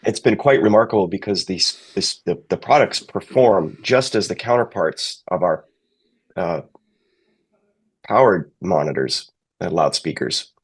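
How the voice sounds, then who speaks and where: A man's voice: low voice, lively intonation, a little slow, slightly rough. A middle-aged man, giving conversational interview answers as a technical sales manager.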